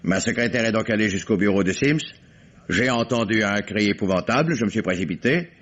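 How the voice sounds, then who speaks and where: Stern factory owner in old radio mystery, lowish voice, dry and clipped, dull lo-fi sound. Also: male voice, animated, fairly quick, a bit bright. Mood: dry, formal. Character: stern, curt male factory owner under questioning.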